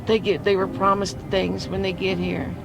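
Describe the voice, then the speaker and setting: A woman's voice: medium pitch, little pitch movement, normal speed, vocal fry, over music, poor audio. A woman resident talking bluntly and with exasperation in an interview from her car. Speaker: local resident.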